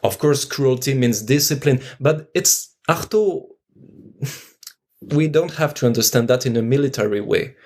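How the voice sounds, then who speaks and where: A man's voice: mid-range voice, normal speed, darkish tone. A man with a French accent, an actor being interviewed, speaking reflectively and searching for his words as he goes. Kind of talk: unscripted reflective discussion.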